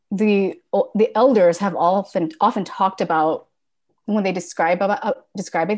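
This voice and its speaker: A woman guest speaking reflectively in casual conversation, with many fillers and restarts. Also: lowish voice. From born in Thailand.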